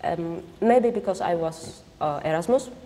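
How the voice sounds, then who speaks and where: A woman's voice: on the low side, lively intonation, measured pace, mellow. A woman with a Czech accent and Vietnamese as her native language, talking thoughtfully as a panel guest and often repeating words as she searches for them. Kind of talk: panel discussion. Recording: long hall reverb.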